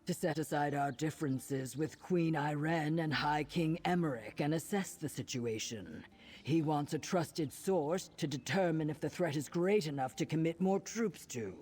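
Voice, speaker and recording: An actor voicing an earnest, seasoned reptilian warrior envoy in a fantasy video game, speaking in a measured, formal way. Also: male voice, on the high side, darkish tone, husky. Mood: grave, resolute.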